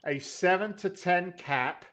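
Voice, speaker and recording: A man co-hosting a casual investing talk show, loud and blunt, swearing casually and punching his points with emphasis. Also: sits high, slow pace. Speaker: podcast co-host.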